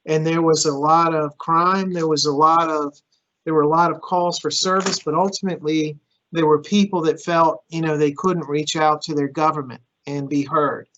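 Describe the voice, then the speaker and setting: A man's voice: a little high, fairly even intonation, moderate pace, a bit bright, lo-fi sound. A middle-aged man whose first language is Greek, a county manager answering questions at a public forum in an earnest way that repeats words as he starts phrases.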